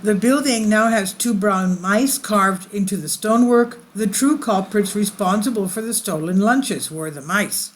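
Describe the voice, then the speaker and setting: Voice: medium pitch, unhurried, few pauses, slight background noise. A channel host reading a news article aloud with casual asides, sometimes stumbling over words and correcting them.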